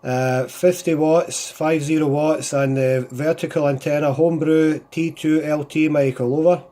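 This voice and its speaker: A man's voice: on the high side, fairly even intonation, moderate pace, dark tone, slight background noise. A man with a Scottish accent chatting casually as an amateur radio operator, repeating himself and using radio call phrases. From Scotland. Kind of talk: casual CB radio contact chat.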